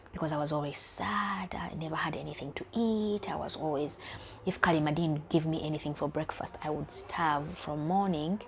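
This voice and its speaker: A young woman from Uganda speaks in a low, dark-toned voice, recounting her own story for her channel. She talks candidly and often sinks to a near whisper, with a faint hum of room ambience behind her. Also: vocal fry. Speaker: vlogger. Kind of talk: personal storytelling vlog.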